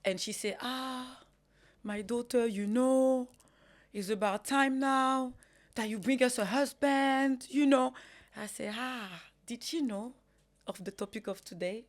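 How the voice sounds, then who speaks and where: A woman's voice: fairly high pitch, measured pace. A woman in her thirties co-hosting a casual podcast chat, making dry, teasing remarks. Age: 30s. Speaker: podcast co-host.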